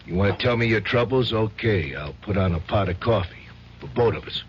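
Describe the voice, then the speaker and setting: A man playing a gruff streetwise tough guy. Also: fairly low pitch, lively intonation, a little fast, very noisy, lightly acted. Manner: gruff, slangy, wisecracking. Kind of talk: 1970s radio drama acting. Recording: dull old radio broadcast.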